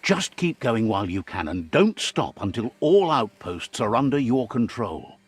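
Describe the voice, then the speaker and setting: A man's voice: medium pitch, big pitch swings, measured pace, husky. A man narrating a video game in a wry storybook style, guiding the player along. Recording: music playing under the voice. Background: game music.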